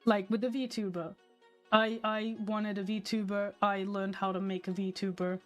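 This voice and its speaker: A woman's voice: medium pitch, little pitch movement, a little slow, soft background music. Slightly nasal young woman streamer. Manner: chatty, candid, talks to her viewers. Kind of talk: casual stream chat.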